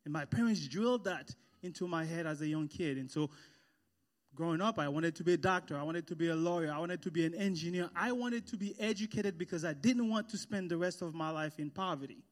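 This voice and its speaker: A man from Haiti giving his testimony as a guest speaker in church, telling his story in measured, emphatic phrases. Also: fairly high pitch, faint music behind.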